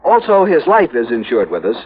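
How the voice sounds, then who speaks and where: An actor playing a fussy, anxious insurance executive in a 1950s radio drama, pedantic and easily flustered. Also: male voice, medium pitch, huge pitch swings, brisk, slight background noise. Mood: worried. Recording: muffled vintage 1950s radio recording.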